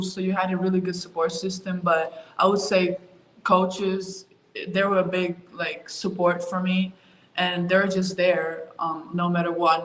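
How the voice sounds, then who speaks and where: A young woman from Ukraine answering interview questions about her move and her sport, talking in a relaxed way with lots of fillers. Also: fairly low pitch. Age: young adult. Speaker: college basketball player, interview guest. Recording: roomy with faint ambience.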